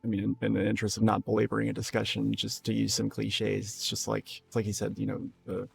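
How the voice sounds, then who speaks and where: A tired, hoarse man streaming a game, rambling in loose casual talk and swearing freely. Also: lowish voice, monotone, quick pace, faint music behind. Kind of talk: casual live-stream chat.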